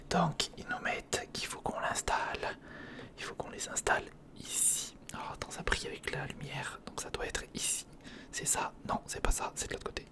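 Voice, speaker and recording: A man whispering in a breathy, unvoiced ASMR style, giving informal and slightly hesitant build-along commentary close to the microphone. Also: medium pitch, pushed and bright. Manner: hesitant, informal, muttering to the listener. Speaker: ASMR video host. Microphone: close recorder microphone.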